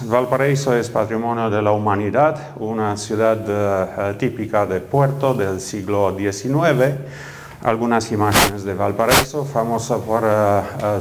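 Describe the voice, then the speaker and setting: A middle-aged man from Romania with a Romanian accent, giving a conference keynote talk with frequent hesitant fillers. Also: lowish voice. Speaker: keynote speaker. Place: conference hall.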